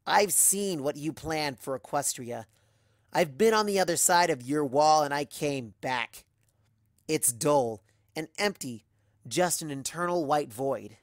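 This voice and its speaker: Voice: medium pitch, dry recording, lightly acted. Narrator playing a young female pony heroine: defiant, earnest, emphatic storybook speeches, dry sound. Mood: defiant, resolute. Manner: emphatic, deliberate declarations.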